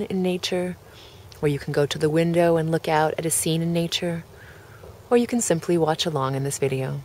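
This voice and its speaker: A woman leading a guided relaxation, speaking gently in measured phrases with pauses between them. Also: sits low. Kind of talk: guided relaxation, meditation narration.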